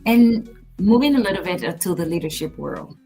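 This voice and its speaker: Low-voiced woman giving earnest advice. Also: lively intonation, normal speed, dark tone, soft background music. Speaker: podcast co-host. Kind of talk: casual podcast conversation.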